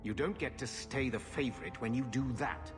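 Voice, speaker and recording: A male actor voices a grumbling middle-aged uncle down on his luck. He is gruff and dismissive, as in a fantasy game, with music behind his echoing voice. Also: medium pitch, normal speed, lightly acted. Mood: bitter, defensive. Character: bitter, grumbling middle-aged uncle fallen on hard times. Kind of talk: video game voice acting.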